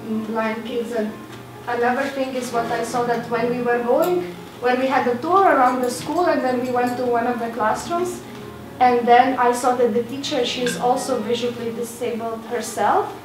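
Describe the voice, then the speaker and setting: A young woman teacher explains steadily to an audience, with a slightly high voice. She sounds distant in an echoing hall, with music playing beneath her. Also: moderate pace. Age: young adult. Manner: plain, steady explaining with fillers. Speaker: student teacher presenting. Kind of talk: informal panel talk. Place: dim hall with seated audience. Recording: roomy far-off hall recording with music.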